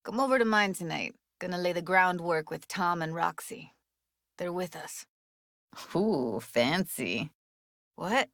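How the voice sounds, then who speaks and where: A young female techie from a cyberpunk game, tough and sardonic with raw feeling underneath. Her voice sits a little low and is recorded very dry and close. The delivery is naturalistic, blunt and full of street slang. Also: lively intonation, a little slow, fairly bright tone, no echo. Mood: soft, caring, then playful, teasing. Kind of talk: naturalistic video game voice acting.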